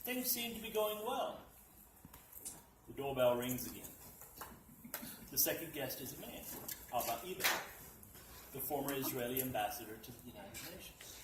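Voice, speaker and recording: Man reading a story aloud, deadpan. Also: mid-range voice, moderate pace, long pauses. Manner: dry, deadpan storytelling, with a few restarts. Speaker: reader at a literacy event. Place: library meeting room at a dinner event. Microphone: distant mic. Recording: echoey room, distant mic, background noise.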